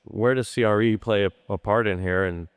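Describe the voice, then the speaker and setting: A man's voice: fairly low pitch, fairly even intonation, brisk, clean tone, no room sound. A man moderating a conference panel, asking loose, rambling questions.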